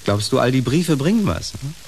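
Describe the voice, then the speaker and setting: An actor playing a bitter, self-loathing man in a naturalistic radio drama, probing and halting in his speech. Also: mid-range voice, sing-song, a little mellow. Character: bitter, self-loathing male housemate seeking friendship. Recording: hissy radio play recording.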